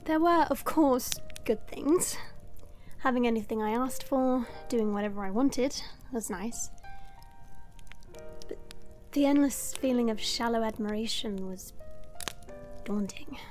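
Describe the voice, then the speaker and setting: A woman's voice: on the high side, a little slow, long pauses, a little mellow, soft background music, natural voice. A woman playing a proud, burdened young dragon princess in an audio roleplay, with formal, regal diction. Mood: solemn, wistful.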